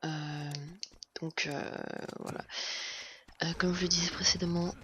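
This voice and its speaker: A man's voice: fairly high pitch, level tone, measured pace, a bit bright. A man giving a casual, hesitant voice-over commentary on a game video, with many fillers and restarts. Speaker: gameplay commentator. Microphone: home voice recording, self-described as not the best setup. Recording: noisy recording with game gunfire behind. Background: game sounds and gunfire.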